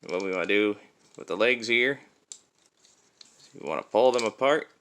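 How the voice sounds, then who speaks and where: A man's voice: fairly low pitch, normal speed, a bit bright, clean tone. A man giving a casual hands-on demonstration as a toy reviewer, explaining things step by step.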